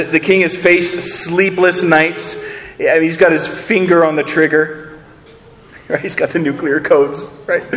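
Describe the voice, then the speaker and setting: A man's voice: on the high side, fairly bright tone, in a large hall. A man preaching a sermon, earnest, asking rhetorical questions and repeating words as he builds each point. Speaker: pastor.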